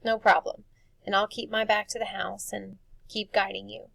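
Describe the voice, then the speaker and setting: A woman's voice: medium pitch, little pitch movement, normal speed, creaky, a bit bright, no room sound. A woman voicing a brave, determined ten-year-old boy in a storybook, organized and urgent in manner.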